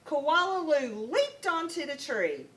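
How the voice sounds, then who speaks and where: A woman with a very bright, fairly high voice reads a children's story aloud. She takes her time and tells it expressively, like a first grade teacher. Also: big pitch swings, slow. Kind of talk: children's picture book read-aloud.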